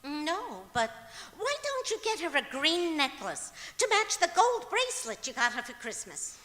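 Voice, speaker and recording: A woman's voice: sits high, big pitch swings, normal speed, pushed and bright, heavily acted. A woman playing a sweetly illogical, guileless wife in old-time radio comedy style on stage. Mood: chatty, matter-of-fact. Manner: guileless, earnest delivery of nonsense. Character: scatterbrained, sweetly illogical wife, cheerfully matter-of-fact. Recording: echoey auditorium stage performance.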